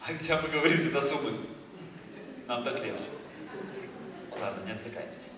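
A man in his thirties from Moldova, with a Moldovan accent, preaching a lively sermon on a church stage and moving between loud emphasis and quiet asides. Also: mid-range voice, moderate pace, a crowd in the background. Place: large church hall with a stage. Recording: echoey church hall, far from the mic.

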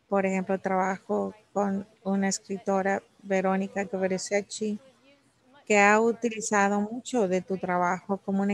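A woman working as a live interpreter for an academic talk, delivering it in halting, separate phrases. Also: mid-range voice.

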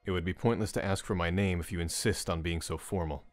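A man acting as a brooding, reserved gentleman in an audio drama. His low voice is measured and formal, dryly recorded over faint music, and he wastes few words. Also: fairly even intonation, dry recording, natural voice. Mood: softened, formal.

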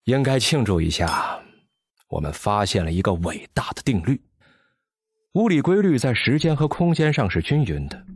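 Sardonic boozy physicist in a radio play, lecturing, voice swoops a lot, kinda husky. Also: male voice, mid-range voice, very animated, normal speed, no room sound. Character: bitter, brilliant, hard-drinking male theoretical physicist. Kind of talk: audio drama acting.

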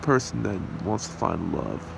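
A man voicing a possessive, smug demon kidnapper in an amateur ASMR roleplay, taunting and unhurried. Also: deep voice, creaky, full character voice. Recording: steady hiss and hum behind voice.

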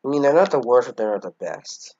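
A man's voice: mid-range voice, lively intonation, a little fast, darkish tone, breathy edge, dull audio. Congested teen boy rambling into a cheap mic. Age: teens. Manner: rambling, hesitant, casually profane. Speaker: channel owner. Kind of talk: casual channel update.

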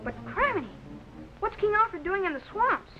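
A man's voice: very high-pitched, big pitch swings, moderate pace, rough recording. A teenage male actor playing an eager, polite boy assistant in a 1950s TV serial. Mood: questioning. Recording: old film soundtrack with music.

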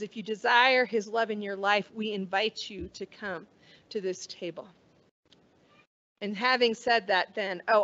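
A middle-aged woman pastor leading communion in a church sanctuary, speaking in a measured, solemn liturgical manner. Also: a little high, dull audio. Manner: measured, warm liturgical delivery with slow solemn phrases. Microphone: stand mic at a lectern, far camera.

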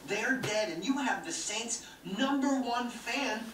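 A slick corporate villain pleads and bargains in a video game. The sound is echoey and a little distant, as if played back in a room. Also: male voice, extremely high pitch, slow, fairly bright tone, a little hiss or hum, exaggerated voice. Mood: nervous pleading. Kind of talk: video game cutscene acting.

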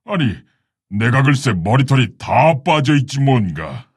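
A man playing a proud, solemn orc warchief in a video game, gravelly and husky, speaking in a commanding, stately, formal way. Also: medium pitch, very animated, a little slow, no room sound, clean studio sound, full character voice. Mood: wry, relaxed. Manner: commanding, stately, old-fashioned formal speech. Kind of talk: video game hero voice lines.

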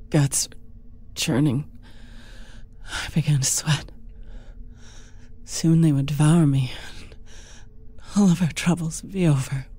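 A woman reading first-person fiction in a hushed, breathy, deliberate and dramatic way. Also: deep voice. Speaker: story narrator.

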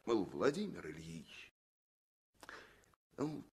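A Baltic sailor in a 1960s radio play. His husky voice swings widely as he talks, folksy and emphatic. He is a hearty, confiding man of the revolution. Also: medium pitch, huge pitch swings, drawn-out words, exaggerated voice.

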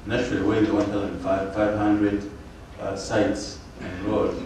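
A man's voice: fairly low pitch, flat delivery, darkish tone. Older Ugandan man, formal, echoey hall. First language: Luganda. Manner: measured, formal, halting with fillers. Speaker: panel speaker. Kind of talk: formal symposium address. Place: lecture hall panel table. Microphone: table mic on stand. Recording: echoey room, distant mic, background hiss.

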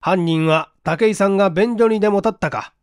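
A woman voicing a composed male police investigation chief, sober and deliberate, in a dramatic audiobook reading. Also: medium pitch, a little mellow, clear, resonant tone, no room sound, studio quality, voice pushed down, lightly acted. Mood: sober, regretful.

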